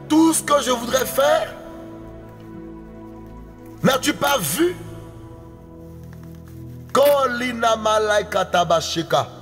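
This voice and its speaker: A man's voice: very high voice, fairly bright tone. A man delivering a prophetic utterance, with intense, repetitive declaiming and speaking in tongues. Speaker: prophetic preacher. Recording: long hall reverb, faint music behind.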